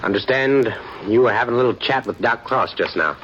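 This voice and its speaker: An actor playing a blustering frontier bully who wants to be sheriff, drawling and menacing in a 1950s radio play. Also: male voice, mid-range voice, a little fast, a bit bright, close to an everyday voice. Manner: menacing at first, then stammering. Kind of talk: 1950s radio drama acting. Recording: muffled vintage radio broadcast with hiss.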